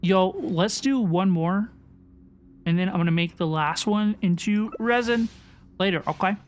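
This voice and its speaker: A woman's voice: mid-range voice, a little slow. A woman hosting a gaming livestream, chatting casually and often repeating phrases for emphasis. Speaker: livestream host. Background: game music.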